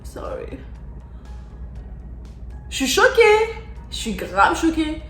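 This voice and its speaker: A young woman from France reacting in a casual vlog, excitable and slangy, repeating her exclamations. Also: sits high, slow. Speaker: vlogger. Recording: echoey room with faint music.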